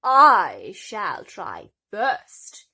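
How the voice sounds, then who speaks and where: A woman voicing a vain, domineering stepmother in a storybook, snarling and booming imperiously. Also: wildly swooping pitch, extremely slow, sharp tone, husky, heavily acted.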